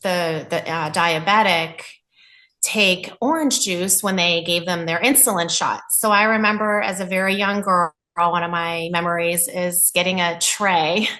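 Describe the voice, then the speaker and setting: A woman from New England, a nurse and podcast guest, telling her life story in an earnest, open way with a loud voice. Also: on the low side, fairly even intonation, unhurried.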